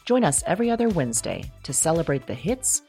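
A woman's voice: deep voice, sing-song, fast talker, over loud music. A woman reading scripted podcast narration, such as credits and promos.

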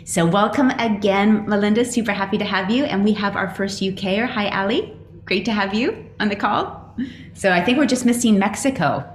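A woman's voice: mid-range voice, normal speed. A woman speaking as host and coach on a casual group call, chatty and welcoming, greeting people as she goes. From Australia (lives there). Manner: chatty, welcoming, greets each participant by name. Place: indoor room on an online group call. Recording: roomy echo, faint background noise.

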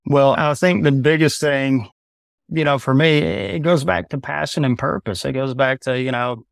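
A man in his thirties talks bluntly and with animation as a podcast guest, speaking in a loose conversational way. His voice is mid-pitched and recorded dry and close with no room sound. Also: normal speed, vocal fry, dry recording. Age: 30s. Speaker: podcast guest, video business owner.

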